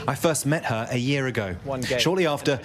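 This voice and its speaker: A man's voice: mid-range voice, brisk, a crowd in the background. A man narrating a scripted television news documentary as a reporter. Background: background music.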